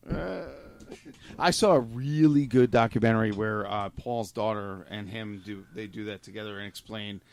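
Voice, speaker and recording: Man, lowish voice, skeptical, casual discussion, studio. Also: normal speed, darkish tone.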